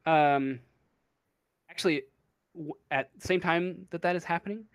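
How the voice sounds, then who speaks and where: A man's voice: a little high, unhurried, clear, resonant tone, dry recording. A man narrating a tabletop role-playing game as game master, improvising and searching for his words.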